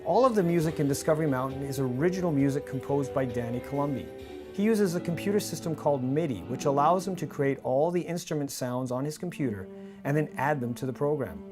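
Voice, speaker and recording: A man in his fifties with a North American accent presents a scripted behind-the-scenes explainer in a measured, clear manner. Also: a little high, music in the background. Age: 50s. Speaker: radio drama director and presenter. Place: small indoor studio office with monitors. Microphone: lavalier on shirt.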